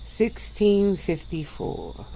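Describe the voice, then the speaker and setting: A woman voicing a centuries-old female vampire who speaks slowly and softly, with breathy little laughs, in an ASMR roleplay. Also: lowish voice, wildly swooping pitch, a little hiss or hum, full character voice, low-quality recording. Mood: teasing, languid. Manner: slow, soft-spoken, with breathy little laughs.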